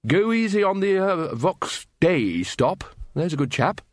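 A man voicing a hearty, blustering, absent-minded old wizard in an audiobook, halting often with ums and uhs. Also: high-pitched, very animated, no echo, studio quality, voice pushed up. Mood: bluff, jovial.

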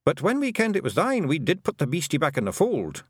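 A tiny, rowdy Scottish fairy man from a storybook. His voice sits very high and leaps about, with an excitable, deferential manner and thick dialect. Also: brisk, husky, no room sound, clean studio sound, heavily acted. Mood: sheepish, nervous. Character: tiny blue fairy man, anxious humble rowdy thief.

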